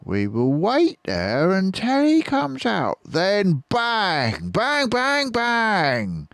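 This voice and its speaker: A man's voice: sits high, huge pitch swings, very slow, fairly bright tone, no echo, clean studio sound, voice pushed up, full character voice. A man voicing a crafty, mean, lean farmer in a storybook, curt and bossy as he schemes. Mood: scheming, bossy.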